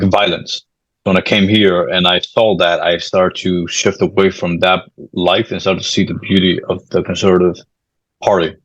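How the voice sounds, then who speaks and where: A young man from Peru speaks in a very low voice that barely rises or falls. He talks earnestly and steadily, like a student guest introducing himself. The sound is dry and slightly dull. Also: dry recording. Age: young adult. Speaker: guest, club vice president. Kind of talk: interview self-introduction.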